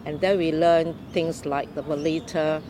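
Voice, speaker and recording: A woman's voice: sits low, steady tone. Older woman from Malaysia, traffic behind. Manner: unhurried storytelling, careful explaining. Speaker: interviewee. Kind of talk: oral history interview.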